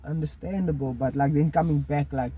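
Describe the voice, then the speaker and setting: A man's voice: on the high side, steady tone, fairly quick, warm tone, husky. A man, co-hosting a casual podcast, laid-back and musing, often trailing off mid-thought. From South Africa. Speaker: podcast co-host.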